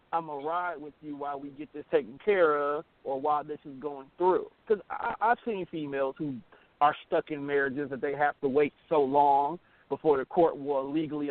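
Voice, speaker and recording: A man's voice: on the high side, no echo. An American man co-hosting a radio talk show, explaining his opinions in a casual, emphatic, colloquial way. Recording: narrow phone-like line, dry.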